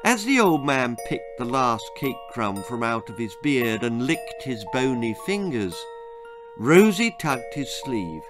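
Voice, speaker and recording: A man's voice: medium pitch, big pitch swings, measured pace, over music. A man reading his own spooky, comic children's story aloud in a theatrical, suspenseful way. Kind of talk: dramatic children's horror-comedy storytelling.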